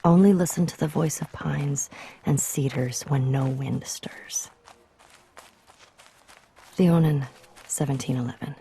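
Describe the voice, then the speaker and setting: A woman reads scripted quotations in a contemplative, measured way. Her voice is low and soft-edged, with a gravelly creak, over faint background noise. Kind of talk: scripted literary quotation reading.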